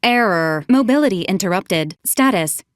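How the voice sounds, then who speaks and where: A woman's voice: medium pitch, animated, normal speed, smooth, no room sound, clean studio sound, close to an everyday voice. Woman as a polite, precise robot companion. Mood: flat reporting. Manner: formal, precise, clipped machine-like phrasing. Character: polite, dutiful female robot medical-combat companion, clinically upbeat. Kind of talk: scripted video game voice lines.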